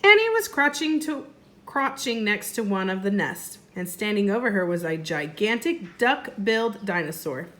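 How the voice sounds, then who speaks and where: A woman, a first grade teacher, reading a storybook aloud expressively and deliberately for young children. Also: mid-range voice, big pitch swings, bright, forceful tone.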